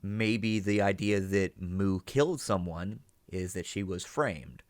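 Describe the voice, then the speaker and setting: Man, low register, casual commentary host who reasons out loud, very dry recording. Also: slow, no room sound. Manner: animated, thinking aloud with rhetorical check-ins. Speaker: reaction and analysis host.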